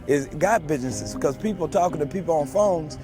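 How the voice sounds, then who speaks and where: A man talks loosely and haltingly outdoors, stopping for fillers as he answers. His voice sits a little high for a man, and music plays clearly behind him. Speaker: interviewee. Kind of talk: casual interview answers.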